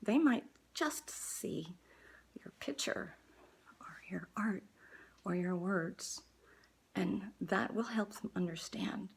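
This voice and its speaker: Slow, husky woman. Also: fairly low pitch, rough recording. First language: Dutch. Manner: earnest, halting, deliberate. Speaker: artist and book organizer. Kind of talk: personal video appeal to viewers.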